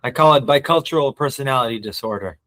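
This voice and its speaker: A man in his thirties who grew up between the US and Israel, a podcast guest telling stories in a reflective, halting way. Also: medium pitch, fairly bright tone. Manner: reflective, many restarts and fillers. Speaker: guest, nonprofit CEO. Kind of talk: interview.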